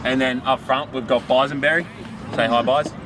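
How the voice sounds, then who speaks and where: A man casually reading a team lineup to his players outdoors at a football pitch, relaxed, with hesitations and jokes. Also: normal speed, background noise. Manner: relaxed, hesitant, joking with teammates. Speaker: team manager.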